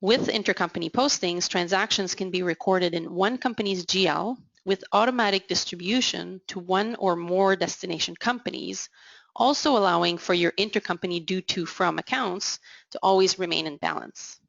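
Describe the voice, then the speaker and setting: A woman giving a measured, explanatory software product demo as voice-over, slowing down on key terms. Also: lowish voice, creaky, no echo, muffled recording. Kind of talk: scripted product demo narration.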